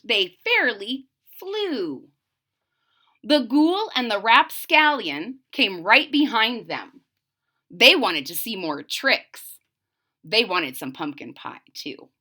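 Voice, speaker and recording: A woman's voice: on the high side, slow. A woman reading a children's story aloud expressively and dramatically, with asides to her listeners. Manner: animated, dramatic, questioning listeners. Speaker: storybook reader.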